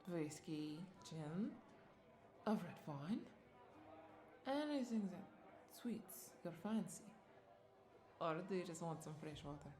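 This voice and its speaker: Slow, coaxing vampire bartender played by a woman. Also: on the low side, expressive. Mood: soothing, attentive. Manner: coaxing, affectionate, lingering over words with soft chuckles. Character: flirty, doting female vampire bartender, soothing and possessive. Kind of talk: roleplay audio drama addressed to the listener.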